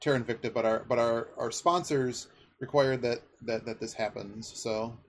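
A man's voice: medium pitch, steady tone, moderate pace. A man hosting a casual sports betting chat, talking in a rambling, analytical way as he thinks aloud. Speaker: podcast host.

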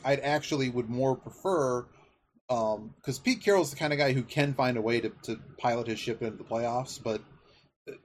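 A man's voice: medium pitch, slight background noise. A man hosting a casual sports betting chat, talking in a rambling, analytical way as he thinks aloud. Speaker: podcast host.

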